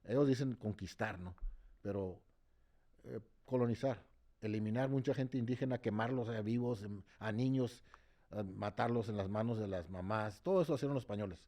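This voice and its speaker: Mellow-voiced man, impassioned and halting. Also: lowish voice. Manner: repeats words while searching, tags phrases with "no?"; switches between English and Spanish. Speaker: interviewee, march organizer. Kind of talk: impassioned interview talk.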